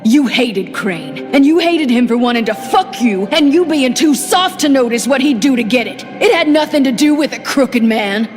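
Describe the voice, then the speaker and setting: A proud, outspoken older tree witch in a game, with a fairly high, sharp voice that is set back in a reverberant space. She sounds defiant, with music under her. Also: expressive, moderate pace, few pauses.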